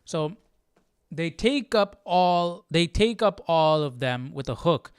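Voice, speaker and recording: A man with a fairly high voice talks through a Bible study in a casual, informal way. He moves between reading and explaining, tripping over words and correcting himself. The sound is dry and close. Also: animated, slow, clean tone, no room sound. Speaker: Bible study livestream host.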